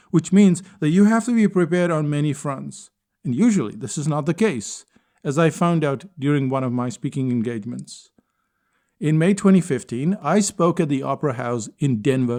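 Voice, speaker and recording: A man calmly delivering a reflective spoken essay, telling a personal story at a measured pace with deliberate pauses. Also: fairly high pitch. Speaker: speaker and consultant.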